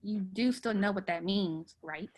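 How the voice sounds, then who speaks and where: Woman reading blunt restaurant boss, dry, dismissive, mid-pitched, faint hiss behind. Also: a little slow, lightly acted. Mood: curt. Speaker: actor at a table read. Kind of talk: script table read.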